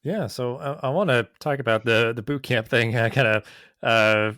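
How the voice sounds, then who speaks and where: A man's voice: medium pitch, normal speed, fairly bright tone, no echo, studio quality. A man hosting a podcast in a casual interview chat, rambling with lots of hesitant fillers. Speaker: podcast host.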